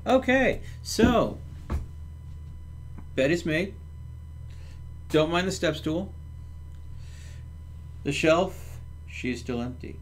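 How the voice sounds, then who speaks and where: A man's voice: on the high side, sing-song, extremely slow, pauses often, a little hiss or hum. A man hosting a livestream, casually talking through his game step by step and chatting with viewers. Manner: thinks aloud step by step.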